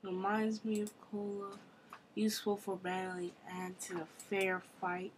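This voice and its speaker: A woman giving casual commentary on her own gameplay, thinking aloud with quick exclamations, at a desk mic at her computer. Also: medium pitch, monotone, a little hiss or hum, low-quality recording. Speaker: gamer recording her play.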